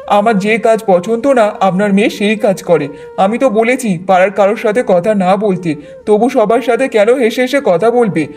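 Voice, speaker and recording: A man's voice: sits high, a little mellow, lightly acted. A man voicing a harsh, suspicious village husband in a cartoon, scolding and accusing. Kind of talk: Bengali moral-story cartoon dubbing.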